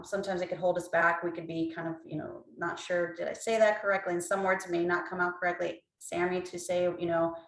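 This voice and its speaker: A woman on a panel telling warm anecdotes about her training, over a video call. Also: fairly low pitch, reverberant, recorded from a distance, low-quality recording. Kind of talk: panel discussion.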